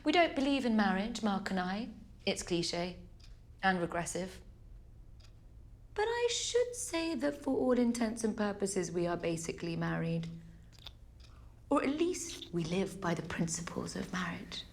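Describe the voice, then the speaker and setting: A woman playing a sweet, overly optimistic, anxious girlfriend in a dark comedy film, speaking in a hesitant, apologetic way. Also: medium pitch, big pitch swings, measured pace. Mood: cheerful, earnest. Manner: hesitant, apologetic, self-effacing.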